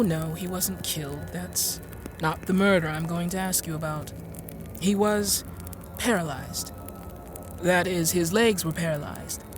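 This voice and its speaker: An actress playing a composed, sharp-tongued woman inventor in an audio drama, speaking in a measured, arch and deliberate way. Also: on the low side, lightly acted. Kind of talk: audio drama acting and first-person narration.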